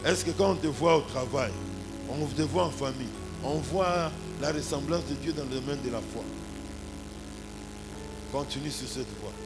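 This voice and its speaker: A man's voice: fairly high pitch, normal speed, pauses often, over music. A man in his forties with a Congolese accent preaching a sermon as a pastor, punctuating his points with exclamations and rhetorical questions. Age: 40s. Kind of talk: animated sermon with anecdotes and congregational address.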